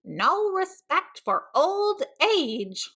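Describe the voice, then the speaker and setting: A woman reading a storybook, voicing a boy who pretends to be an old grandpa, shaky, croaky and theatrically drawn out. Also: very high voice, very animated, very slow, a bit bright, voice pushed up, heavily acted. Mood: mock indignant.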